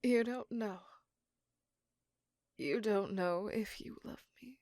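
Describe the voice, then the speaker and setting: A woman voicing a lovesick, possessive vampire in an intimate, dramatic ASMR roleplay, breathy and mostly whispered. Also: mid-range voice, a little mellow, no room sound, clean studio sound. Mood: wounded, questioning. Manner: intimate, drawn-out, dramatic delivery. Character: lovesick, possessive female vampire lover, melancholy and seductive.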